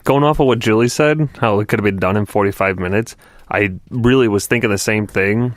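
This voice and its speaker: A man co-hosting a podcast, thinking aloud and searching for the right words. His voice sits a little low and is recorded dry and close. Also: fairly quick, no echo. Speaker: podcast co-host. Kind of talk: casual podcast chat.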